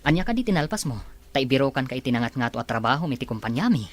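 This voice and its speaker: A man's voice: mid-range voice, very animated, racing, close to an everyday voice. An actor playing a confident, courteous businessman courting a woman in a radio drama, earnest and assured. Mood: persuasive. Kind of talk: Ilocano radio drama acting.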